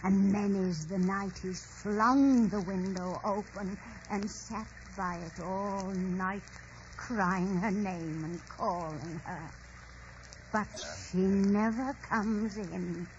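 Actress for solemn female servant, vintage radio drama, unhurried storytelling, muffled broadcast. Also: mid-range voice, steady tone, a little mellow, lightly acted. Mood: solemn, mournful. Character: sorrowful female servant tending a delirious master. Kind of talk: 1940s radio drama acting. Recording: dull, hissy old radio broadcast.

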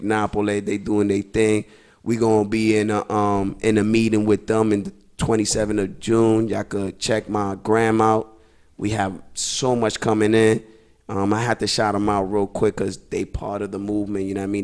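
Gravelly young man. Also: fairly low pitch, a little mellow. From East New York, Brooklyn. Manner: switches between English and Spanish, streetwise, repeats "you know what I mean". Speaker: musician guest. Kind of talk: casual interview talk. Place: small podcast studio. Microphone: studio mic with headphones.

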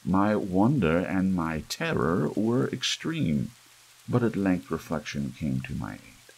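A man reading a gothic story as an ASMR audiobook in a gravelly, husky voice, with measured, dramatic phrasing and pauses. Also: low voice, big pitch swings, rough recording. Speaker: audiobook narrator. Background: soft rain.

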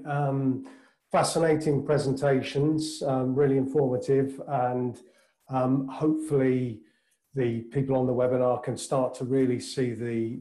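A man's voice: mid-range voice, mellow. A man hosting a webinar over a video call, speaking enthusiastically with repeated words and fillers. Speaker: webinar host from the organising company. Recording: roomy video call audio.

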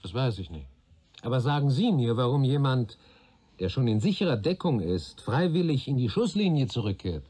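An actor playing a patient senior police detective in a German radio play, speaking in a dry, probing manner. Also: male voice, mid-range voice, big pitch swings, moderate pace, mellow, breathy edge. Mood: measured, questioning.

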